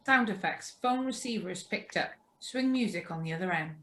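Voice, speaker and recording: A woman's voice: mid-range voice, expressive, brisk, fairly bright tone, a little hiss or hum. A woman reading out scripted sound-effect directions during a live play reading on a video call.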